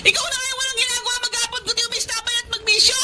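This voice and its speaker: An actress playing a bitter, quarrelsome married woman in a Filipino radio drama, scolding in a shrill, combative manner. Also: level tone, racing, heavy background noise. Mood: scolding, furious.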